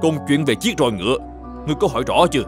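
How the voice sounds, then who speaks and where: A man voicing an upright, determined governor in an animated folk tale, speaking formally and with measured authority. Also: mid-range voice, over music, lightly acted. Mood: resolute.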